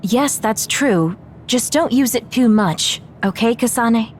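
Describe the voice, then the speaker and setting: A woman voicing a kind, caring young soldier in an anime-style game, speaking gently and with concern. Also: on the high side, lively intonation, takes it slowly, slight background noise.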